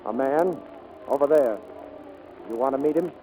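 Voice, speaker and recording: An actor playing an anxious, devoted flying teacher and boss in a 1940s radio drama. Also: male voice, medium pitch, moderate pace, dark tone, lightly acted. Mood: awkward. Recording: faintly noisy 1940s radio recording.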